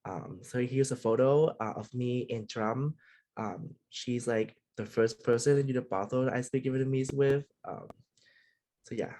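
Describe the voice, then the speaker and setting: Casual young man, medium-pitched voice. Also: dark tone, rough recording. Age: young adult. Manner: casual, filler-heavy, halting. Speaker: university student speaker. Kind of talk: casual personal reflection at a presentation.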